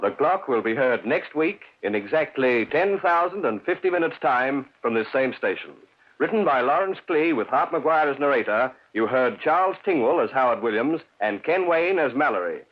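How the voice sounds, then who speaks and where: A male announcer reads the closing credits of a vintage radio drama in a formal manner. His voice is fairly high and husky, and the recording is dull and muffled. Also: animated, normal speed. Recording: muffled old radio transcription.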